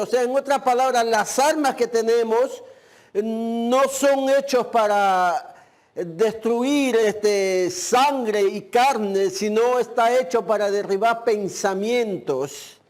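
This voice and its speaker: Man, pastor, sermon, drawn-out emphatic phrasing, very slow pace. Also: very high-pitched. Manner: uses the filler "este".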